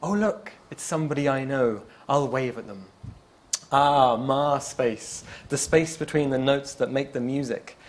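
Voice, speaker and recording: A man's voice: mid-range voice, measured pace. A man reading a playful poem built from repeated lines. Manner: playful, lively reading with laughs. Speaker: event poet.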